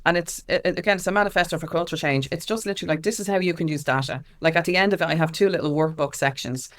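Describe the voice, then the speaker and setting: A woman's voice: low-pitched, racing. Candid, emphatic Irish woman in conversation. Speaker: guest, activist and music consultant. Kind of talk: conversational interview answers.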